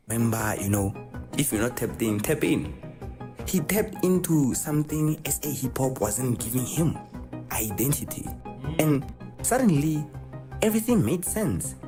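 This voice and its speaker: A woman's voice: rumbling low, lively intonation, measured pace, long pauses, over music. A woman narrating a video script in a punchy, slangy storytelling way.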